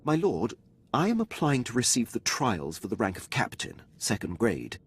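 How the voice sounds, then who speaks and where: An actor playing a dutiful, formal military officer in a video game, deferential and precise, with clipped phrasing. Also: male voice, mid-range voice, huge pitch swings, moderate pace, husky. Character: dutiful, formal male imperial military officer, loyal subordinate. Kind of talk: video game character acting.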